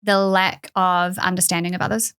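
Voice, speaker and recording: A woman's voice: on the low side, level tone, moderate pace, a bit bright, smooth. Earnest New woman, podcast guest. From New Zealand. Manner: earnest, laughs often, frequent "like". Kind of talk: conversational interview.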